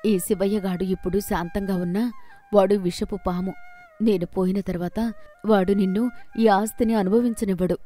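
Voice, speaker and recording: A woman's voice: mid-range voice, fairly even intonation, moderate pace, warm tone, soft background music, close to an everyday voice. A woman voicing a kindly but firm widowed landowner and adoptive mother in a folk tale. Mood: fond, resolute. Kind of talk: acted folk tale dialogue.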